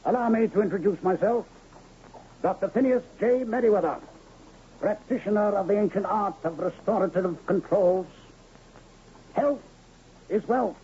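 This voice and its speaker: An actor playing a grandiloquent, flattering traveling medicine-show huckster in a radio drama, with pompous pitchman oratory. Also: normal speed, pauses often, lightly acted. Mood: showy, persuasive. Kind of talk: 1970s radio drama acting. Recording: dull, noisy old radio recording.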